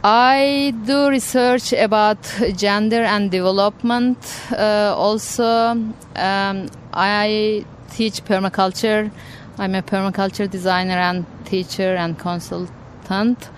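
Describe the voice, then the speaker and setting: Woman guest, unhurried, halting delivery, faint noise behind. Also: medium pitch, fairly even intonation. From Turkey. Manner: hesitant, searching for words with restarts. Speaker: interview guest, researcher. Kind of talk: conversational interview answers. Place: conference venue.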